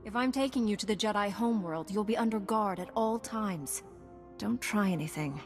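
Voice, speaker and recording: A steadfast female Jedi voiced by a woman in a game cutscene. The delivery is direct and businesslike at an ordinary pitch, with soft music underneath. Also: moderate pace, lightly acted. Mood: firm. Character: resolute female Jedi knight, firm and guarded. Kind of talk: video game cutscene acting.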